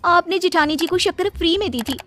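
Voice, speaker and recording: A woman's voice: very high voice, fairly quick, noisy background, pitched above the performer's own voice. A woman voicing a wheedling, scheming young daughter-in-law in a cartoon story.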